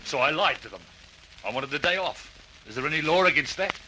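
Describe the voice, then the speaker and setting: A man's voice: fairly high pitch, lively intonation, brisk, natural voice. An actor playing a truculent, blunt working man suspected of arson in an old radio drama. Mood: defiant. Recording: old radio recording with hiss.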